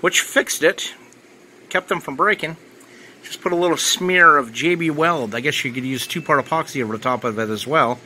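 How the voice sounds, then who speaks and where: A man's voice: a little high, moderate pace, sharp tone, a little hiss or hum, poor audio. A man giving casual, off-the-cuff unboxing commentary as a product reviewer. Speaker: ham radio reviewer.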